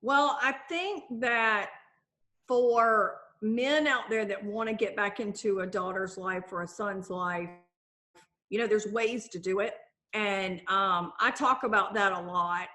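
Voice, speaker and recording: A middle-aged woman author talking in a conversational interview, lively and acting out the voices of the people she quotes. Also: mid-range voice, a little slow. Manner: animated, acts out quoted voices. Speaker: author and guest.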